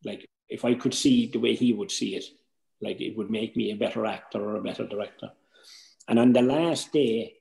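An older Irish man talks informally to a workshop from a roomy indoor space on a video call. His voice is gravelly with plenty of fry, and he is earnest and emphatic, repeating himself to press a point. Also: fairly low pitch, a little mellow.

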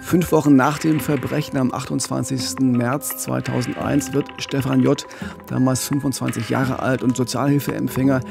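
A man's voice: medium pitch, little pitch movement, moderate pace, creaky, music in the background. A man in his forties telling a true-crime story conversationally as a podcast host, close on a studio microphone. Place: radio studio. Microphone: close studio microphone with pop filter.

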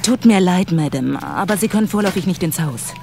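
A woman's voice: on the low side, lively intonation, brisk, vocal fry, darkish tone, a little hiss or hum. A woman playing a self-assured, teasing police detective in a German radio drama, with a probing, wry manner. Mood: official, sympathetic.

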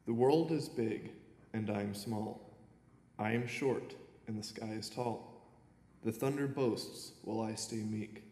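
A man's voice: fairly low pitch, darkish tone. Young man reading in a reverberant hall. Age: young adult. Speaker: poet reading own poems. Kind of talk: live poetry reading with informal introductions. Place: indoor auditorium at a podium. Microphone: gooseneck podium microphone. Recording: echoey auditorium, long reverb, background noise.

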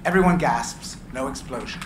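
Man narrating screenplay, brisk, echoey room, steady hiss. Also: medium pitch. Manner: brisk, matter-of-fact. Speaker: narrator reading stage directions. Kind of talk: reading a screenplay aloud. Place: gallery room with seated audience.

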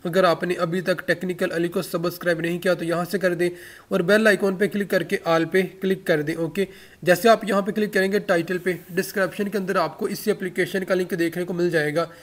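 High-pitched man giving a tech tutorial. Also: fairly even intonation, a little fast. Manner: instructional, addressing viewers directly. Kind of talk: spoken app tutorial, step by step.

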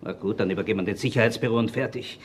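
An actor playing a sarcastic, pushy police inspector with an Austrian accent, needling and confrontational, in a radio crime play. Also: male voice, fairly low pitch, racing, slightly rough. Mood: pressuring.

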